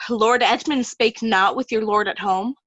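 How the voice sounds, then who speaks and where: A woman's voice: a little high, unhurried, fairly bright tone, dry recording, dull audio. A woman playing a jealous, scheming noblewoman in an amateur Shakespeare reading, probing and imperious. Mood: suspicious. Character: scheming, jealous noblewoman Regan, coolly commanding. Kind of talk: amateur Shakespeare reader's theatre.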